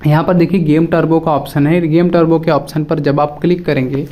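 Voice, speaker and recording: A man's voice: on the high side, level tone, brisk, dark tone. A man presenting a casual step-by-step phone app tutorial, addressing viewers directly and often repeating his instructions.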